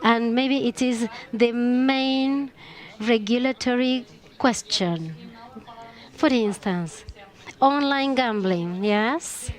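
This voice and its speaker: A woman with a Chilean accent, speaking on a conference panel in a measured, halting way with frequent pauses and restarts. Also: a little high. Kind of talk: panel discussion talk.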